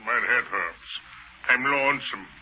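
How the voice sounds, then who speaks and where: Big dim brute, talks real slow n husky, old radio drama. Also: male voice, mid-range voice, animated, bright, forceful tone, exaggerated voice. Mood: dull, forlorn. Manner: slow broken English, blunt. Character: big fat lonesome simple-minded male suspect, dull and menacing. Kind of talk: 1940s radio acting. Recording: noisy 1940s radio recording.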